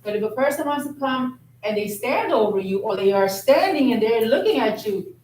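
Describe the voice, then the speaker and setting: A woman's voice: on the high side, expressive, normal speed, darkish tone. Woman counselor giving direct advice. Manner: direct, advising with repeated phrases. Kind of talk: group counseling talk. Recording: echoey room from far off, noisy.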